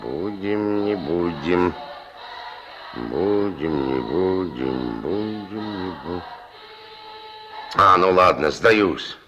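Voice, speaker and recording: A man's voice: deep voice, animated, drawn-out words, long pauses, highs cut off, over music, full character voice. An actor playing a jovial male chess player in a Soviet radio drama, muttering repetitively in singsong to himself. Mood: playful, absorbed.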